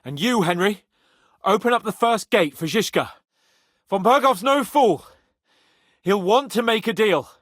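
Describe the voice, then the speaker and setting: Cocky medieval soldier type for a game, highish male voice, lively and commanding, real dry recording. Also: measured pace, pauses often, no echo, exaggerated voice. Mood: confident, briefing. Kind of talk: video game voice acting.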